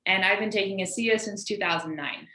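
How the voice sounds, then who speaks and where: A woman's voice: mid-range voice, fast talker, pushed and bright. A woman in her twenties, a swimmer, introducing herself in a friendly way. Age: 20s. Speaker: swimmer giving a testimonial. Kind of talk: testimonial interview. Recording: roomy echo.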